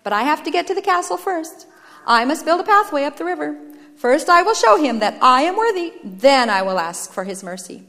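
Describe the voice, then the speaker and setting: A woman's voice: sits high, very animated, fairly quick, a bit bright, long reverb tail, voice pushed up, exaggerated voice. A woman voicing a guilt-ridden young man who is desperate and stubborn, speaking in an earnest, insistent, dramatic way. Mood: driven.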